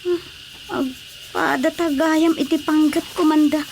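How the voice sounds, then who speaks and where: A woman's voice: high voice, fairly even intonation, a little slow, darkish tone, slight background noise. A woman playing an emotional, fretful female character in a radio drama. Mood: startled.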